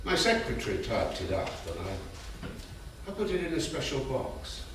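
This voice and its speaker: A man's voice: medium pitch, big pitch swings. Man acting elderly fond grandfather, echoing and distant. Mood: tired, tender. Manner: unhurried storytelling with hesitant pauses and endearments. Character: elderly grandfather, raconteur former gambler, fond and wistful. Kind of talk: staged script reading. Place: theatre stage. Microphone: distant room mic. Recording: roomy echoing stage recording, distant mic, hiss.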